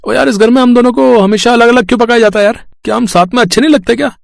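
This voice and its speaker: A man's voice: rapid-fire, gravelly, dry recording, clean studio sound. A man voicing a plaintive yet hopeful talking vegetable in a cartoon moral story. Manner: high, loud, cartoonish.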